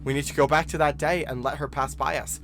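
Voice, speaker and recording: A man's voice: a little high, fairly even intonation, fast talker, close to an everyday voice. A man acting in an audio drama as a bookish, insistent young male student with a pressing, controlling manner. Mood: urgent, persuasive. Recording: noticeable background noise and ambience.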